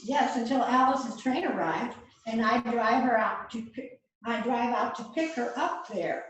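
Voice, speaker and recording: A woman's voice: a little high, measured pace, natural voice. A woman reading the part of an anxious married housewife in an amateur mystery play rehearsal. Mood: earnest. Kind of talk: amateur readers theater rehearsal, script reading. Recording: very echoey room, distant mic, faint noise.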